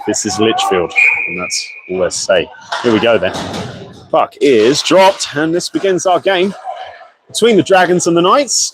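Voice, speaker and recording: A British man with a croaky voice from a cold, chatting and then commentating live on an amateur ice hockey game from a small media box. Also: mid-range voice, background noise. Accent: British English. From Britain. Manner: chatty and apologetic, excitable on goals.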